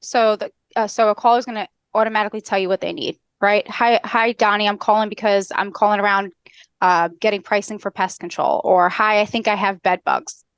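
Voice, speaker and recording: Woman, American accent, middle-aged, very quick pace, structured explainer, dry close headset sound. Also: mid-range voice, steady tone, clear, resonant tone, dry recording. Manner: brisk, structured, instructional. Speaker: podcast guest, customer service trainer. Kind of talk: explanatory interview talk with acted-out call examples. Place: indoor room on a video call.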